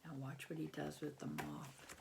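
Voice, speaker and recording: A woman's voice: sits low, flat delivery, moderate pace, creaky, a little mellow, slight background noise. An American woman in her fifties reads a picture book aloud to young children, expressively, with simple explanations and questions to the listener. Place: small bedroom at home, indoors.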